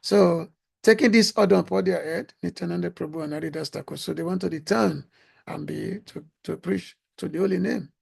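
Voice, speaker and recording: A man with a high, hoarse voice, gravelly and rough as he loses it, singing a devotional song and then preaching. He speaks halting, full of pauses and restarts. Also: darkish tone. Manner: halting, many fillers and restarts. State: losing his voice. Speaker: speaker giving a religious talk.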